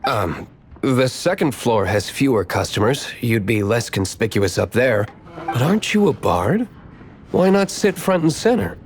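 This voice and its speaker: A man's voice: mid-range voice, big pitch swings, measured pace. A man voicing a cool, guarded young tavern owner in an anime video game, dry, terse and composed. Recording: faint music behind.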